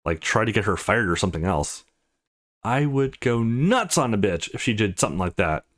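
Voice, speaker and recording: A man's voice: fairly low pitch, very animated, normal speed. A man voicing a brash, foul-mouthed, teasing young woman who is a loyal friend in a visual novel game. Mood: protective.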